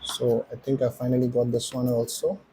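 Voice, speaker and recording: A man's voice: mid-range voice, level tone, a little fast, mellow, a little hiss or hum, rough recording. A man presenting a casual vlog walkthrough, explaining things step by step. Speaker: motorcycle vlogger and presenter. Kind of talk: casual unboxing and installation walkthrough.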